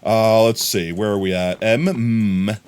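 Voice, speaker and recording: An American man chatting casually on a live stream, rambling and bursting into excited shouts of thanks to viewers. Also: lowish voice, measured pace, clean tone. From United States. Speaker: livestreamer.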